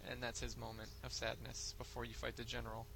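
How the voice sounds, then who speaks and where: A young man chatting casually about games as a podcast co-host, retelling things in an animated way with acted-out quotes. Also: mid-range voice, quick pace, creaky, noisy background. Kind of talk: casual gaming chat.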